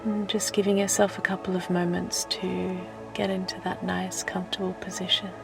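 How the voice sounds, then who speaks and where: A woman leading a guided relaxation meditation in a soft, gentle, soothing voice that turns breathy at times, with unhurried phrases. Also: lowish voice, little pitch movement. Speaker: meditation teacher and host. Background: music.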